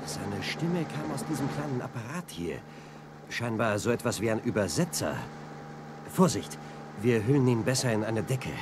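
A man acts a young adventure hero in a radio drama. His voice is very animated in pitch, earnest and decisive, with a faint rumble of noise behind it. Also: moderate pace, lightly acted. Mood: concerned, then urgent. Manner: urgent, explanatory. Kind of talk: radio play acting.

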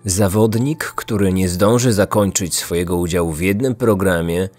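A man's voice: low-pitched, normal speed, darkish tone. A man from Poland in his thirties narrating a scripted story as a podcast host. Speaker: true crime podcast host. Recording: music bed behind the voice. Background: background music.

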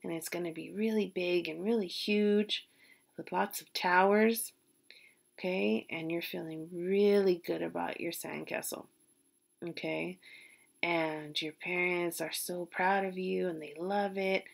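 A middle-aged woman teacher leading young children through a calm visualization exercise, talking slowly and checking in with "okay?" after each step, recorded on a laptop webcam in a small bedroom. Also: fairly low pitch, creaky. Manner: slow, soothing, with frequent "okay?" check-ins. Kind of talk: guided visualization for young students. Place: small bedroom at home, indoors. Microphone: laptop webcam mic.

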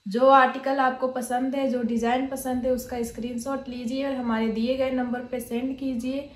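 A woman's voice: sits high, level tone, normal speed, reverberant. A woman presenting suits for sale in a shop, talking steadily to viewers in promotional patter. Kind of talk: sales pitch showing suits.

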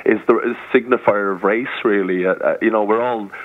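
A man's voice: on the low side, fairly even intonation, fairly quick. A middle-aged man with an Irish accent, a musician being interviewed on radio, hesitant and repeating words as he starts sentences. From England, raised partly in Ireland. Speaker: musician guest. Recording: tinny phone-line radio sound.

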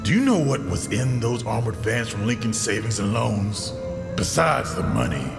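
A man's voice: on the low side, very animated, normal speed, vocal fry, over loud music. A man playing a menacing, sardonic crime boss with a taunting, theatrical manner in an audio drama.

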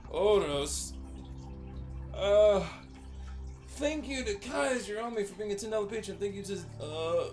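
A man's voice: high voice, lively intonation, unhurried, pauses often, darkish tone, soft background music. A man doing casual, rambling gameplay commentary as host of a gaming video, with a sarcastic, joking manner.

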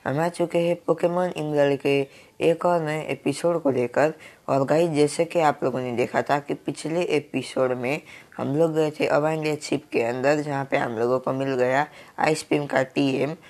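Hoarse man with a cold, gravelly. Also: fairly high pitch, fairly even intonation, moderate pace, darkish tone. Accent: Hindi. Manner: filler-heavy, keeps saying "guys". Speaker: gaming YouTuber. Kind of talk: casual game commentary.

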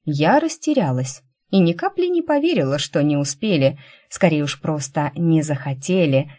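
Female audiobook narrator for fantasy fiction, low register, very animated intonation. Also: normal speed. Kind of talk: expressive scripted narration of a first-person fantasy novel.

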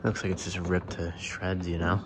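A man's voice: deep voice, flat delivery, normal speed, very noisy. A young man exploring an abandoned church, talking casually to his camera with lots of fillers. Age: young adult. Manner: laid-back, rambling, lots of fillers like "um" and "you know". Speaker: urban explorer vlogger. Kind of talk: casual vlog walkthrough. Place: large abandoned church, indoors. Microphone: handheld camera, on the move.

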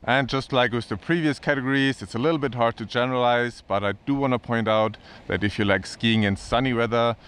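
A man's voice: mid-range voice, fairly even intonation, a little fast, hardly any pauses, fairly bright tone, clean tone. A man in his thirties presenting casually to camera on snowy mountain slopes, upbeat and chatty. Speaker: ski vlogger.

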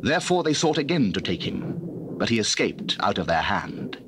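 A man reads scripture as a formal storyteller. His voice is fairly low with lively rises and falls, recorded on a noisy old cassette. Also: moderate pace. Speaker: narrator. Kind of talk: scripted dramatized Bible narration.

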